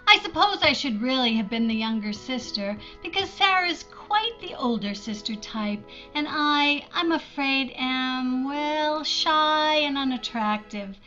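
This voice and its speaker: A shy, wistful woman of old-time radio drama, played by an actress, speaking in a high voice. She is lonely and self-deprecating, with a hesitant, earnest way of talking. The recording is slightly dull. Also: expressive, measured pace, hardly any pauses, bright, forceful tone, soft background music, heavily acted. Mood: lonely, confiding. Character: shy, lonely, wistful unmarried older sister in Ohio.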